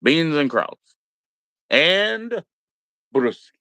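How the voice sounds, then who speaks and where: A man's voice: mid-range voice, normal speed, fairly bright tone, no echo. American man, livestream host, casual chat, slangy, laid-back, encouraging, very dry sound. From Maryland, United States.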